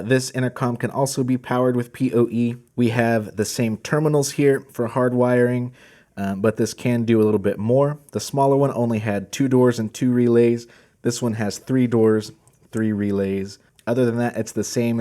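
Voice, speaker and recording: A man's voice: mid-range voice, fairly even intonation, normal speed, warm tone. A man hosting a product video, walking through gear in a casual presenting style. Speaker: host of a product channel.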